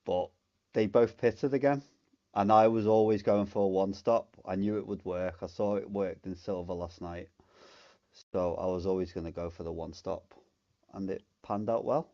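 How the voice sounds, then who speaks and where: A man speaking casually about winning a sim race, relaxed and plain-spoken. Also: low-pitched, normal speed, lo-fi sound. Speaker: race winner interviewed. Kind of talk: casual post-race interview.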